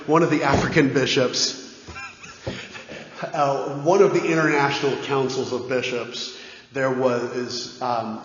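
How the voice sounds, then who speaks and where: A man, a parish priest, answering questions in casual talk, often checking in with his listeners. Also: mid-range voice. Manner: conversational, keeps checking in with "right?". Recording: echoey dull church hall recording.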